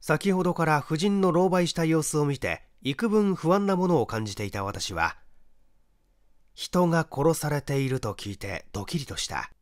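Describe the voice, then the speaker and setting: A man's voice: fairly high pitch, expressive, normal speed, dry recording, studio quality. A man reading a mystery story aloud as a dramatic scripted narration. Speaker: audiobook reader, stage actor.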